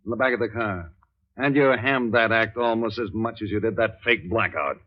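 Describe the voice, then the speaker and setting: An actor playing a gruff, mocking homicide detective in 1940s radio crime drama style. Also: male voice, lowish voice, fairly quick, lightly acted. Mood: gloating, accusing. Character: big, gruff, gloating male police homicide detective. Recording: muffled old 1940s radio recording.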